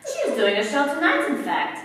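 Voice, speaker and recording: Lively young actress as teasing woman commando. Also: high-pitched, brisk, full character voice. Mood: chatty. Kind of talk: staged radio play acting. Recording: distant, echoey hall stage recording, faint noise.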